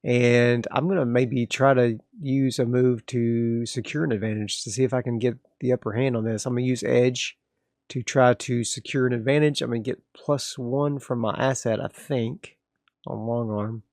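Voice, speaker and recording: A man's voice: medium pitch, steady tone, moderate pace, a little mellow, no room sound, clean studio sound. A man hosts a solo tabletop role-playing game, casually thinking aloud as he narrates moves and reads out rules.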